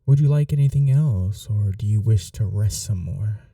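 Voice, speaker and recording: Man acting a very soft, dark-voiced gentleman vampire. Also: fairly low pitch, vocal fry, no echo, clean studio sound, recorded from a distance. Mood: cozy, reflective. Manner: unhurried, polite, soothing, slightly formal. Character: courteous, caring gentleman vampire host, gently old-fashioned. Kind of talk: intimate ASMR roleplay.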